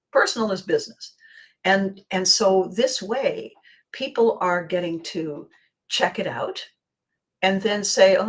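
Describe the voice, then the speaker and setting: A woman with a slightly hoarse, scratchy voice hosting a casual motivational monologue, speaking expressively and with emphasis to her viewers. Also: medium pitch. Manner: expressive, acting out bits of dialogue. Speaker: vlog host.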